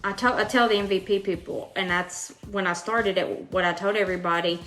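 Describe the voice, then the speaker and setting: A woman's voice: medium pitch, fairly even intonation, fairly quick, a bit bright, faint music behind, low-quality recording. A woman with a Western Kentucky Southern accent, chatting casually and folksily as she hosts a live craft tutorial. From Western Kentucky, United States. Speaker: craft host. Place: indoors at a home craft table.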